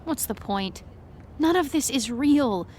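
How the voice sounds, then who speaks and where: Jaded, high-voiced sulky young woman. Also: animated, slow, a little mellow, slight background noise. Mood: weary, bitter. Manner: weary, exasperated complaining. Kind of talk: naturalistic audio drama dialogue.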